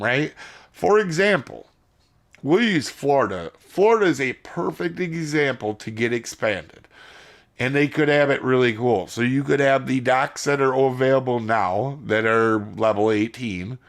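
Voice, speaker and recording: Guy losing his voice, kinda gravelly fry in it, rambling at his viewers like a gaming youtuber. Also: medium pitch. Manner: rambling, addresses viewers as guys. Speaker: gaming channel host. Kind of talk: casual video commentary.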